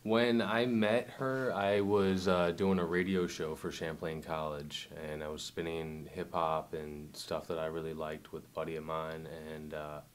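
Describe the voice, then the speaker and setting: A very low-voiced man in a relaxed documentary interview. He talks in a loose, rambling way, full of fillers and restarts, the tone of someone chatting easily about his work. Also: measured pace, hardly any pauses, slight background noise. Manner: laid-back, rambling with many fillers. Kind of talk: casual interview.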